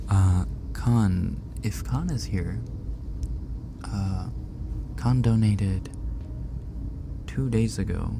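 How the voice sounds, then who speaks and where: A man streaming ASMR, chatting casually and softly with viewers, often dropping into a whisper, on a binaural microphone. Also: on the low side, gravelly, background noise. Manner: soft-spoken, often whispering, chatty and self-deprecating. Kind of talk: casual ASMR livestream chat reading donations.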